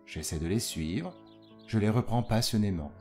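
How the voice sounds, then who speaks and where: A man voicing a famous composer describing his musical inspiration in a formal, measured, literary way. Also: on the low side, animated, dark tone, faint music behind, natural voice. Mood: fervent. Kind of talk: dramatised reading of a quotation.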